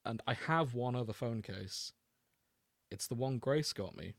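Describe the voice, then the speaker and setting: A man's voice: mid-range voice, lively intonation, measured pace, gravelly, no echo, studio quality. A man hosting a podcast, chatting casually and jokily with the odd mock-announcer aside. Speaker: podcast host. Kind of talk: casual comedic podcast chat.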